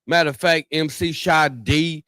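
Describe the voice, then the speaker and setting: A man's voice: fairly high pitch, fairly even intonation, unhurried, a loud crowd. An American man hosting a podcast talk show, chatting casually in slang, effusive and often repeating his phrases. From Tennessee and California's Bay Area, USA.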